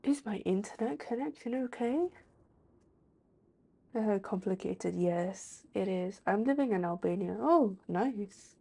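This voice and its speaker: A woman's voice: medium pitch, lively intonation, measured pace, gravelly, warm tone, breathy edge. A woman livestreaming a game and chatting casually with her viewers. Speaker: live streamer.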